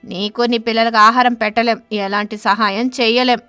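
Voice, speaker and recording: A woman voicing a stern, stingy stork husband who berates and lectures in an animated bird story. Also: on the high side, normal speed, clean tone, dry recording, faint music behind. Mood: harsh, scornful. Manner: berating, sharp-tongued, lecturing.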